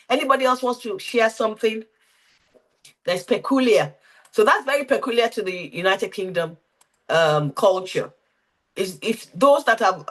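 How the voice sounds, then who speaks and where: A woman with a middling-pitched voice runs a career clinic over a video call. She coaches a group of attendees and keeps asking them questions to draw them into the talk. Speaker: workshop facilitator.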